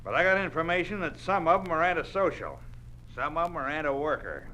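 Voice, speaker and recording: An actor playing a blunt, menacing trade union boss with a tough, folksy American manner of speech in a 1950s radio drama. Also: male voice, fairly high pitch, expressive, brisk, bright, forceful tone. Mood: stern. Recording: noisy old radio recording.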